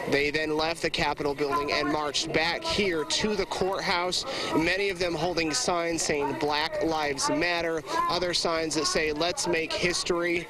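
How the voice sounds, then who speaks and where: A man reporting live as a TV news reporter from outdoors in a city. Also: fairly high pitch. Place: outdoors on a city street among a protest crowd. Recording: loud outdoor street and vehicle noise.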